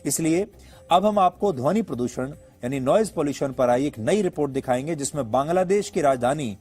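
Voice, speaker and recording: A man's voice: a little high, animated, a little fast, a little mellow, slightly rough. A man presenting as a news anchor, delivering an editorial commentary in an emphatic, rhetorical, explanatory manner.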